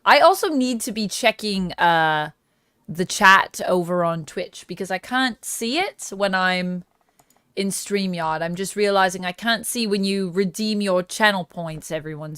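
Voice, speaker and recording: A woman's voice: mid-range voice, a bit bright, smooth, dry recording, studio quality. A woman hosting a tabletop game livestream, chatting casually, laughing easily and thanking viewers. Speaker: streamer host.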